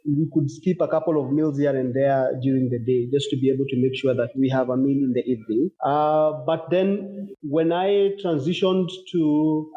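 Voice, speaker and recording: A man with a Kenyan accent, a company founder, answering interview questions in a measured, thoughtful way with pauses. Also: on the high side, muffled recording.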